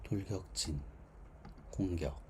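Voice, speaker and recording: A man with a hoarse, worn voice giving casual livestream commentary as a game developer, thinking aloud haltingly. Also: sits low, a little hiss or hum. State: hoarse, strained voice from overuse.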